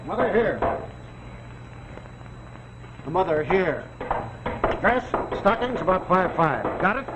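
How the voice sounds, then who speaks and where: An actor playing a brusque, demanding army official who gives clipped orders in a 1940s television drama. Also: male voice, high-pitched, lively intonation, takes it slowly. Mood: brusque, urgent. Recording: dull, noisy 1940s TV soundtrack.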